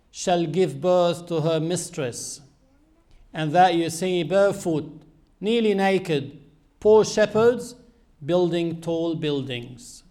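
A man giving an Islamic sermon in a measured, earnest teaching manner with frequent pauses. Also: high voice. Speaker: Islamic preacher.

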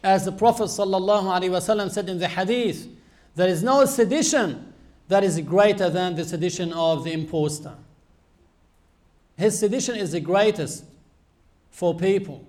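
A man giving an Islamic sermon in a measured, earnest teaching manner with frequent pauses. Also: sits high, darkish tone. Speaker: Islamic preacher.

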